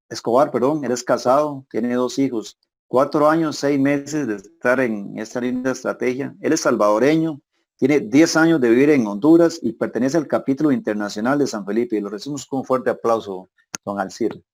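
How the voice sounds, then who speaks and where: A man's voice: medium pitch, normal speed, a little mellow. A man hosts an event, introducing a guest in an informal spoken style.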